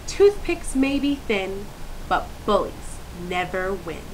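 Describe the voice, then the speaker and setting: A woman's voice: medium pitch, takes it slowly. A woman voicing a hurt, bullied schoolgirl in a storybook, speaking emphatically and plaintively. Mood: defiant. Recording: clear steady hiss.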